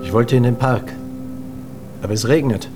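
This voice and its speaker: A man's voice: medium pitch, moderate pace, music in the background, lightly acted. An actor playing a wary, sceptical ageing man in a radio drama, with a terse, dry delivery. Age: middle-aged or older. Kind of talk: German radio drama acting.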